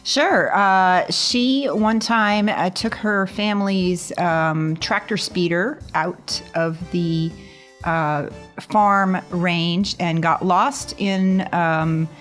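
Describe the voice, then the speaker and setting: A woman's voice: fairly low pitch, drawn-out words, soft background music. A woman who plays in a tabletop role-playing podcast, casually describing her game character. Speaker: podcast cast member, tabletop RPG player.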